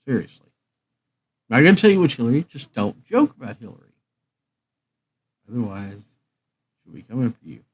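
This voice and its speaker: A man's voice: medium pitch, sing-song, brisk, long pauses, husky, rough recording. A man hosting a livestream, chatting casually in an informal, joking way. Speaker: livestream host. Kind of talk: casual rambling chat.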